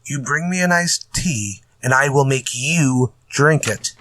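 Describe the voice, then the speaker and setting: Exasperated male writer played by an actor, fretful and muttering, mid-pitched, retro radio play style. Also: unhurried, a bit bright, close to an everyday voice. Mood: testy. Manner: fretful, muttering to himself when stuck. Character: exasperated male mystery writer stuck on his story. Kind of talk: retro radio drama acting.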